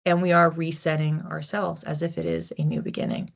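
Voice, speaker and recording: A woman's voice: low-pitched, sing-song, fairly quick, creaky, highs cut off. A woman hosting a psychic card reading in a casual, conversational way, thinking aloud with informal phrasing as she addresses her audience. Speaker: psychic reader hosting a weekly collective reading.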